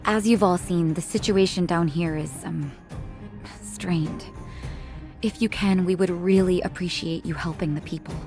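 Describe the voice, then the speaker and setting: A woman voice acting a composed, kind doctor in a video game, speaking in a calm, measured, polite way. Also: on the low side, faint music behind.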